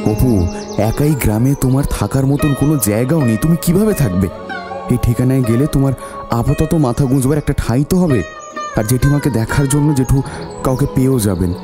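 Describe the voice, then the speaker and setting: An earnest young village friend in a cartoon drama, acted out by a performer. He is caring and insistent, speaking in short halting phrases over clear background music. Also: medium pitch, lightly acted. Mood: reassuring.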